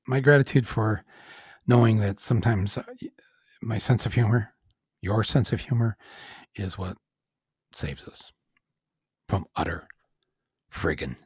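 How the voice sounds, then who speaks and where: A man in his sixties with a gravelly, very husky voice, musing through a loose podcast monologue. He rambles and laughs at himself, filling gaps with "uh" and "um". The sound is close and dry. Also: on the low side, dry recording. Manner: rambling, self-deprecating, with chuckles and frequent "uh". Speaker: podcast host. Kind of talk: casual monologue.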